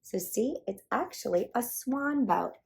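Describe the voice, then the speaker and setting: A woman reading a children's picture book aloud as a storyteller, in an animated, emphatic way meant for young listeners. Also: a little high, unhurried, gravelly, a little hiss or hum.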